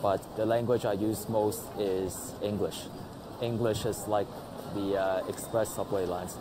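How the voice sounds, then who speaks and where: A man, speaking English in a thoughtful documentary interview with some hesitant pauses. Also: lowish voice, fairly even intonation, noisy background. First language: Cantonese. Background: background music.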